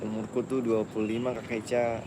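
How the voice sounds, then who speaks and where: A man's voice: on the low side, flat delivery, fairly quick. Live streamer: young man, casual chat style, recorded outdoors with noticeable ambient noise. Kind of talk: casual chat, reading live comments aloud. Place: outdoors at a busy tennis court. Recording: noisy outdoor spot, traffic-like background.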